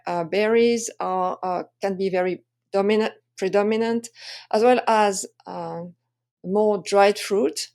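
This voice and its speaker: A woman with a French accent presenting an explanatory lesson as a wine tasting coach, speaking haltingly with frequent pauses. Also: medium pitch, darkish tone. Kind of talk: presentation lesson to camera or slides.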